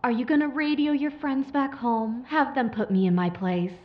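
A woman's voice: fairly high pitch, normal speed, lo-fi sound, natural voice. A woman playing a sly, menacing corporate operative in an audio drama, taunting with mock sympathy. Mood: taunting menace. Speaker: villain.

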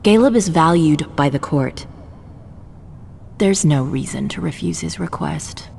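A woman's voice: sits low, lively intonation, unhurried, gravelly, darkish tone, in a large hall, a little hiss or hum, heavily acted. A woman playing a poised, ambitious researcher in a video game, polite, composed and sure of herself. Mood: composed, confident. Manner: polite, composed, self-certain.

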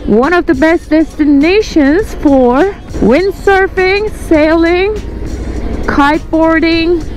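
A woman in her forties from Turkey with a Turkish accent, chatting excitedly to viewers while riding outdoors on a motorcycle. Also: very high voice, slow, soft background music, poor audio. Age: 40s. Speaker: vlogger. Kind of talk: casual ride commentary.

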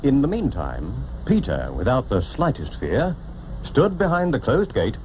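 A man narrating a children's storybook cartoon in a theatrical, expressive way, on an old film soundtrack. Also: medium pitch, a little slow, very noisy. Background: orchestral music.

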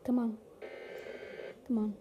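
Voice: medium pitch, little pitch movement, takes it slowly, warm tone. A speaker giving a casual how-to demonstration, talking informally and directly to viewers while working with gear. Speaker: hobbyist video maker demonstrating weather radios. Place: small carpeted room at home, indoors. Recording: noisy handheld home recording with clicks.

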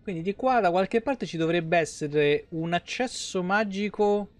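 A man's voice: high voice, a little slow, faint music behind. A man, giving casual live game commentary full of slang and swearing. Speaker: game streamer. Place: indoor room at home, streaming on webcam.

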